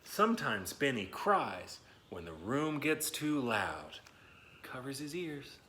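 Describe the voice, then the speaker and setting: A man's voice: mid-range voice, sing-song, slow pace, sharp tone, slight background noise. A man reading and talking to young children in an enthusiastic, encouraging way, speaking to them directly and stretching words for emphasis. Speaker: children's story reader and host. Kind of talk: children's read-aloud and talk to young viewers.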